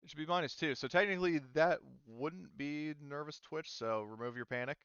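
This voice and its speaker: A man serving as game master for a tabletop roleplaying session, who stops and restarts often as he explains the rules. His voice is smooth and clear. The recording is dry and dull, like lo-fi online call audio. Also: a little high, brisk, a bit bright, no room sound. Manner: hesitant, lots of ums and restarts.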